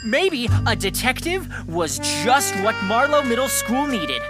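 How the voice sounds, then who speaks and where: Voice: medium pitch, big pitch swings, unhurried, loud music behind the voice. An actor playing a precocious, pompous middle-school would-be detective in a children's audio drama, speaking in formal, bookish language. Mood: earnest narrating. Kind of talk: scripted children's audio drama, first-person narration and dialogue.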